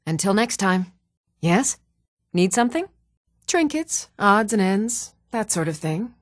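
A firm, earnest young woman from a fantasy video game. Her middling-pitched voice moves expressively up and down. The delivery is measured, and the sound is very dry. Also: big pitch swings, no room sound. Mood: businesslike, friendly. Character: even-toned young adult woman of a fantasy world, steady and stern.

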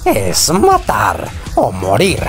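Guy doing mocking latin american killer doll, swoopy slow voice, horror dub with music. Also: medium pitch, wildly swooping pitch, creaky, heavily acted. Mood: mocking menace. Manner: mocking, menacing, drawn-out. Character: taunting, swaggering male killer doll. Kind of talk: horror series fan dub. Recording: music behind voice.

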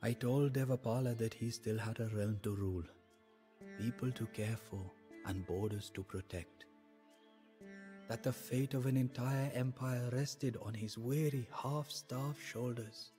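An actor playing a sorrowful, devout adviser to an Indian emperor, narrating the story in a solemn, measured, theatrical way for a game. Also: male voice, mid-range voice, warm tone, soft background music, close to an everyday voice. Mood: stirring, resolute. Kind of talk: dramatic scripted storytelling narration.

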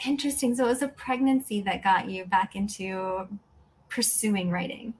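A woman's voice: mid-range voice, steady tone, normal speed, poor audio. A woman in her thirties hosting a podcast interview in a friendly, attentive, polite way. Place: living room at home, indoors, video call.

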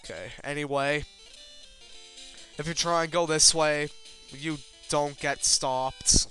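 A man's voice: on the high side, fairly even intonation, slow pace, bright, forceful tone. A man giving casual, rambling video game commentary in a self-deprecating way, with casual swearing. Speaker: let's player. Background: video game music.

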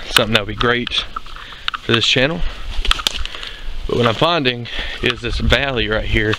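A young man hosting an outdoor channel, explaining things in a relaxed way as he walks through a forest, recorded on a handheld camera. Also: medium pitch, a little slow, heavy background noise. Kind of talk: casual hiking narration.

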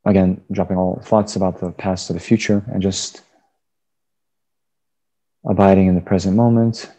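A man leading a guided meditation as a Buddhist teacher, giving unscripted instructions in halting phrases. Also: low-pitched, flat delivery, mellow.

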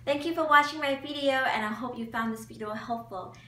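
A woman's voice: sits high, fairly quick, pushed and bright, distant mic. Cheerful young woman vlogger, echoey room. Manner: cheerful, enthusiastic presenter. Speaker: beauty vlogger. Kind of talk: upbeat scripted vlog narration. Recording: echoey room, mic set back, faint hum.

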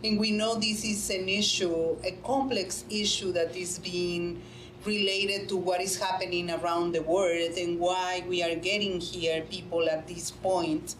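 A woman's voice: lowish voice, fairly even intonation, unhurried, echoey room, rough recording. A woman panelist answers at a table mic in a conference room. She talks earnestly and drives points home by repeating them. The room rings a little, with a faint hum behind her. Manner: earnest, repeats phrases for emphasis, many restarts. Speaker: panelist, nonprofit director.

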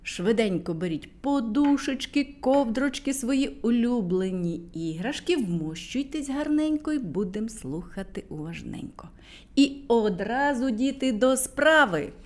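Young woman narrating a kids' fairy tale, unhurried and sing-song. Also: a little high, animated. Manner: warm, playful, whispering at moments. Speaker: storyteller for children.

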